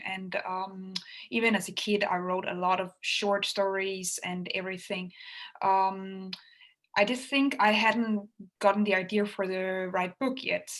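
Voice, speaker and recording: A woman from Denmark, a native Danish speaker, answering interview questions in a soft, casual way with frequent ums. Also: mid-range voice. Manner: soft-spoken, frequent ums, laughs lightly. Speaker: guest author.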